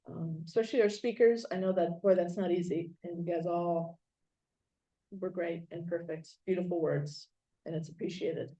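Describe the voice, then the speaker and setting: A woman's voice: fairly low pitch, fairly even intonation, moderate pace, warm tone. A woman organizing a memorial gathering, thanking guests in unscripted remarks with many fillers and restarts, recorded at an event venue. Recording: dull lo-fi sound.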